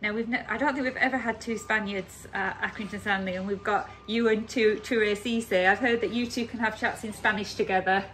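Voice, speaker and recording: A woman's voice: mid-range voice, steady tone, fairly quick, hardly any pauses, a bit bright, slight background noise, rough recording. A woman interviewing a new signing for a football club, asking friendly, encouraging questions.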